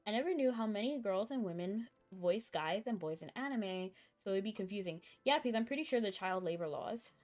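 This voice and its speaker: A woman streaming a video game, chatting casually and thinking out loud as she plays, with a chuckle here and there. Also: medium pitch, lively intonation, fairly quick, few pauses. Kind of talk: casual live-stream chat while gaming. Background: faint game music.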